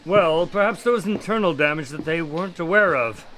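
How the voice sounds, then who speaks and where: An actor playing a polite, questioning doctor who is a detective's skeptical sidekick in an audio drama. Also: male voice, on the high side, animated, brisk, a crowd in the background, natural voice, poor audio. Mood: concerned. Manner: courteous, questioning.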